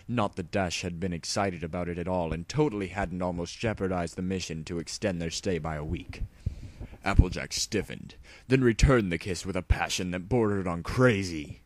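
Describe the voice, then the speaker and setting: A man's voice: deep voice, normal speed. A man narrating fan fiction in a mock-serious, theatrical audiobook style.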